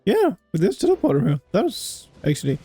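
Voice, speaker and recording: A woman's voice: mid-range voice, wildly swooping pitch, brisk, dark tone, husky. Woman, game streamer, casual commentary, varied intonation, faint music behind.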